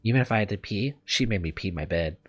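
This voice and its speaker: A man's voice: lowish voice, animated, fast, fairly bright tone. A man narrating a visual novel as a let's player, sometimes stumbling and rereading words. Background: music.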